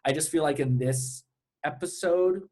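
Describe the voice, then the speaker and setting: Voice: medium pitch, measured pace, dark tone. A speaker giving informal, tentative opinions in a casual group discussion.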